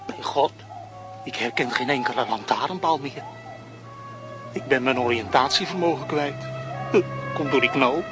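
A man's voice: mid-range voice, a little slow, long pauses, over music, lightly acted. An actor playing a proud, self-pitying male street dog who calls himself a detective, in a children's radio play. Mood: sneaking. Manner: theatrical, self-pitying and boastful.

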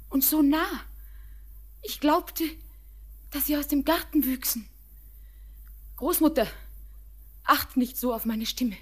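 High-voiced, fragile young bride. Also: female voice. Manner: theatrical, lyrical, fervent. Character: fragile, distraught young bride, dreamy, heartbroken daughter. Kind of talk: poetic radio drama acting.

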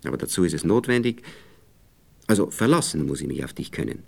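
Deep-voiced, animated Viennese man. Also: quick pace, gravelly, full character voice. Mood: earnest, hesitant. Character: evasive, condescending married Viennese man courting a young woman. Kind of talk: naturalistic radio play dialogue.

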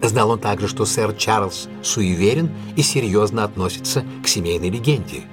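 An actor playing a brilliant, assured detective who lays out a case in a deliberate, emphatic, analytical way in an audio drama. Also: male voice, lowish voice, over music, close to an everyday voice. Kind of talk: audio drama dialogue, expository monologue.

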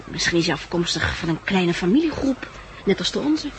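An actress playing an affectionate, level-headed female survivor in a 1960s Dutch radio drama, gentle and matter-of-fact, with a Netherlandic accent. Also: fairly low pitch, big pitch swings, brisk. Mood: dejected. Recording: noisy old 1960s radio recording.

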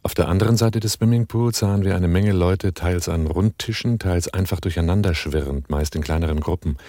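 An actor playing a cool, mocking married crook who also narrates a radio play. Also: male voice, deep voice, fairly quick, dark tone, lightly acted.

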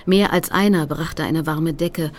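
Low-voiced, determined woman activist narrating. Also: measured pace. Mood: sober recounting. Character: determined female Nazi-hunting activist recounting her campaign. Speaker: narrator of a documentary radio play. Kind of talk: scripted first-person narration.

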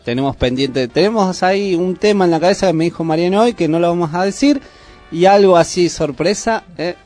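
A man's voice: high voice, fairly quick, darkish tone, soft background music. A man with an Argentine accent co-hosting a community radio show, laid-back and slangy, joking with the other hosts. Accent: Argentine Spanish. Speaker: radio co-host. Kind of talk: casual community radio chat.

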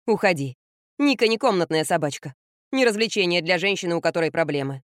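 A woman voicing a stubborn young rocker woman who speaks curtly in a lowered, muffled tone. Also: fast talker, dry recording, studio quality, lightly acted. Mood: cold. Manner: flat, curt, lowered voice.

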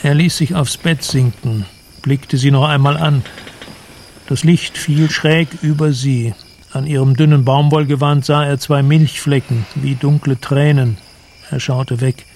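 A man narrating a literary radio play in a measured, solemn manner. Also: mid-range voice, steady tone, darkish tone, a little hiss or hum.

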